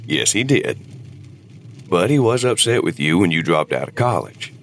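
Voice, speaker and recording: A man's voice: low voice, very animated, normal speed, slight background noise. A man playing a weary older husband and war veteran, regretful but devoted, in an audio drama. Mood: wistful, regretful.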